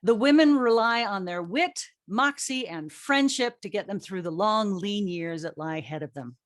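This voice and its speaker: A woman's voice: mid-range voice, lively intonation, moderate pace. A woman moderating a panel, giving a scripted introduction with joking, self-deprecating asides and theatrical emphasis.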